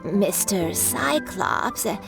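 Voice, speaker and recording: An elderly granny shopkeeper from a video game, speaks slowly in a gentle, grandmotherly way. She is kindly but shrewd, with expressive rises and falls over clearly audible music. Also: mid-range voice. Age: older. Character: kindly, shrewd old granny kite seller. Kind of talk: video game character acting.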